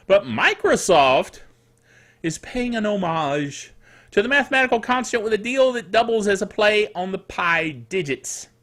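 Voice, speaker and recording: A man hosting a casual tech news show in a chatty, jokey, digressive way, with a throat that is getting rough. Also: sits high, pushed and bright. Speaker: technical director for radio stations. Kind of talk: casual scripted tech news commentary with asides.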